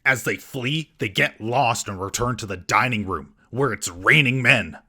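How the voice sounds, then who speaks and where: A man's voice: medium pitch, unhurried, over music. A man hosting a scripted comedic film recap in a sarcastic, mocking manner. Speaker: comedic film reviewer and host.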